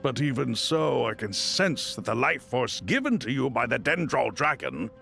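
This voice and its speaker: A perceptive ancient male being in a video game. His voice is thick with gravel and swings widely in pitch, formal and theatrical, over faint music. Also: sing-song, moderate pace, bright, forceful tone, full character voice. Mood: probing. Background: background music.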